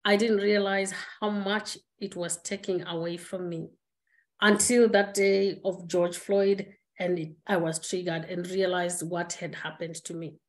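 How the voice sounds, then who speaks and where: A middle-aged woman from Zimbabwe speaking on a webinar call, reflective and earnest, pausing on fillers and restarting her sentences. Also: lowish voice, flat delivery. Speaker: guest speaker. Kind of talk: candid personal conversation. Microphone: webinar video call.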